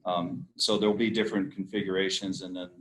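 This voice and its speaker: Deep flat-voiced man leading a meeting. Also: fast talker, a little hiss or hum, low-quality recording. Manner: hesitant, many fillers. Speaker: meeting facilitator. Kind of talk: casual meeting discussion.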